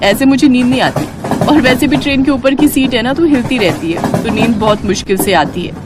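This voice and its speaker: A woman's voice: fairly high pitch, a little fast, pitched above the performer's own voice, close to an everyday voice. Woman as brave, earnest young woman, noisy recording. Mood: polite, chatty. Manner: polite, earnest, chatty. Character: brave, alert young woman, polite sister-in-law.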